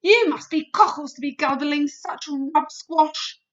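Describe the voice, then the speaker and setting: A woman's voice: high voice, unhurried, muffled recording. A woman voicing a huge bloodthirsty male giant who bellows threats, in a storybook reading. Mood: bellowing.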